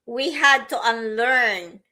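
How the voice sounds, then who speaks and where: A woman from the Philippines co-hosting a live stream, chatting slowly with many fillers and restarts. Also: fairly high pitch. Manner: slow, warm-hearted, frequent fillers and restarts. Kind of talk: casual livestream chat. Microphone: livestream setup.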